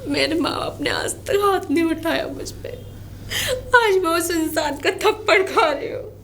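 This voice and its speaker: A woman playing an aggrieved, sharp-tongued young wife in an amateur family drama, complaining and arguing. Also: high voice, moderate pace, slight background noise. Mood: tearful, aggrieved. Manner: complaining, argumentative.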